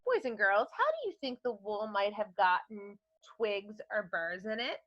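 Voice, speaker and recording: Animated woman teacher addressing children. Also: medium pitch, normal speed. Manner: instructive, question-asking. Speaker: elementary school teacher. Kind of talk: read-aloud lesson addressing children with comprehension questions. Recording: dull, lo-fi sound.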